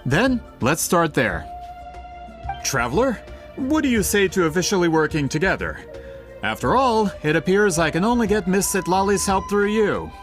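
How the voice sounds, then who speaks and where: A polite, eager male investigator in a fantasy game. His voice sits fairly high and moves expressively, courteous and earnest, with music softly behind. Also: big pitch swings, moderate pace. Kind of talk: video game character voice acting.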